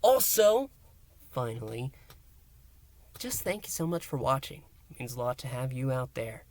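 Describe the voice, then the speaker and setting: A man presents a review as the host of a channel, talking straight to his viewers. His delivery is halting and emphatic, with dramatic pauses and stressed words. His voice sits at a medium pitch. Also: very animated, a little mellow. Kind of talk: review and recap monologue to viewers.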